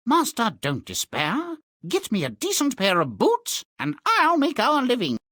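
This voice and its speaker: Casting: scheming storybook cat for a game, bright and drawn-out, silky flattery turning bossy. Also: male voice, very high-pitched, no room sound, studio quality, exaggerated voice. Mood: sly, flattering. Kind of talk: video game fairy-tale voice acting.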